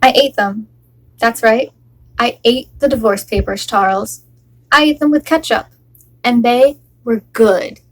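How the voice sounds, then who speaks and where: A woman's voice: fairly high pitch, measured pace, rough recording. Woman performing a sardonic, heartbroken wife. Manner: sarcastic, forced laughter over near tears. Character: wry heartbroken wife refusing a divorce. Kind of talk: theatrical monologue performance.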